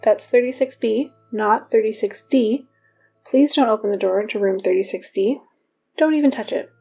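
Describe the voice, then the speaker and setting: A woman's voice: a little high, moderate pace, warm tone, breathy edge. A woman playing an exasperated school announcer in a fiction podcast, reading notices with dry, wry asides. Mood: brisk, official. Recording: dull lo-fi PA sound, music behind.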